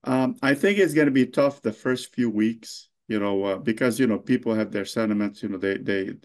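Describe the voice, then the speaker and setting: A middle-aged man born in Lebanon, a mayor answering interview questions in a halting way, full of fillers and restarts. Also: on the low side.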